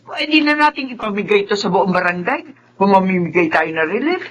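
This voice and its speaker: A fretful middle-aged Filipino mother, played by an actress in a radio drama, careful with money and prone to exclaiming. Her pitch moves widely, and the sound is slightly dull. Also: expressive, a little fast, close to an everyday voice. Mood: worried, scolding. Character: thrifty, worried middle-aged mother fretting over her children. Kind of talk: Tagalog radio drama acting.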